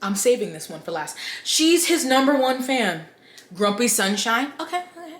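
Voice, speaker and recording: A woman's voice: on the high side, very animated, normal speed, reverberant. A woman book vlogger giving casual, chatty opinion commentary with wry, incredulous asides.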